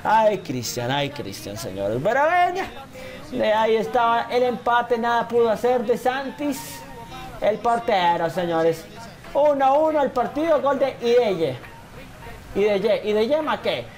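A young man giving casual, animated commentary on his football video game and reading viewer comments, often calling his audience "señores". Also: very high voice, unhurried. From Colombia. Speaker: gaming YouTuber.

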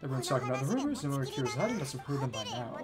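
Very low-voiced woman streaming a game. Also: very animated, rapid-fire. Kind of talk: reading game dialogue aloud with casual commentary. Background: soft game music.

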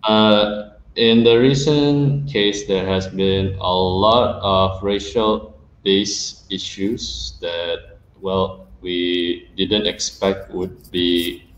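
Low-voiced young man hosting a video call. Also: fairly even intonation, very slow. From Indonesia. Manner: frank, rambling questions with many fillers. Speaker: podcast host. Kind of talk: casual interview on a video call. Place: small indoor room. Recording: roomy, dull video call sound with faint noise.

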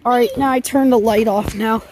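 A young woman with a high voice chats and giggles as she films a rainy yard at night. Her narration is casual and upbeat, picked up by a handheld camera mic, with a faint hiss of rain behind her. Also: steady tone, a little fast, darkish tone. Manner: chatty, giggly, describes what she films. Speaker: vlogger filming outdoors.

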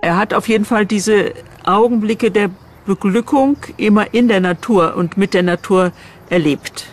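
High-voiced male documentary interviewee. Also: normal speed, faint music behind. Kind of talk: spontaneous recollection in an interview.

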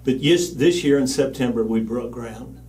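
An older man leads a mission group and delivers a measured, earnest banquet address from a lectern. His voice is dark and mellow. It is picked up from a few steps away from the mic. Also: medium pitch, distant mic, rough recording. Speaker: mission organization leader. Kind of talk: banquet speech. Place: studio with backdrop, at a lectern.

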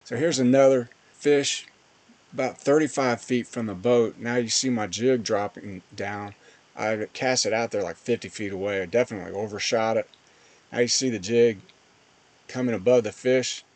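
A man's voice: medium pitch, steady tone, normal speed, a little mellow. A man giving casual, plain-spoken voice-over commentary on fishing footage as host of a fishing channel.

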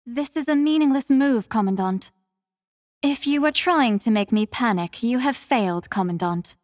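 Actress as young warrior woman, game character, devoted and composed, formal measured delivery, higher voice, reverb tail. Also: expressive, clean studio sound, close to an everyday voice. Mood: earnest, composed. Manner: formal, measured, soft-spoken courtesy. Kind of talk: scripted mobile game character lines.

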